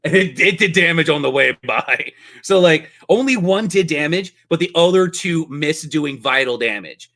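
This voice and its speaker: A man's voice: on the high side, animated, normal speed, hardly any pauses, a bit bright. A man narrating a tabletop fantasy game as game master, with vivid, playful descriptions.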